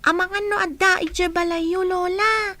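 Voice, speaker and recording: Very high-pitched little girl. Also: steady tone, a little slow, pushed and bright, no echo, exaggerated voice. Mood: anxious, curious. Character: timid, caring little girl, younger sister. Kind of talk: audio drama acting.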